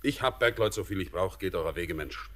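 An actor playing an earnest young former sailor turned miner in a classic radio play, speaking in a poetic, searching way that turns brusque. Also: male voice, sits low, expressive, a little fast, fairly bright tone.

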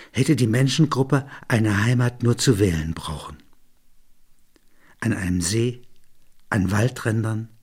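A man narrating literary prose and reflecting on it in a measured, thoughtful manner. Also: mid-range voice, darkish tone, slightly rough.

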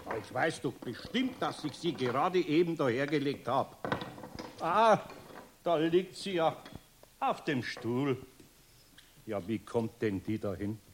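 A man's voice: mid-range voice, background noise, natural voice. A man playing a middle-aged, good-natured, grumbling master carpenter with a Bavarian accent in a children's radio play. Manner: muttering to himself, grumbling.

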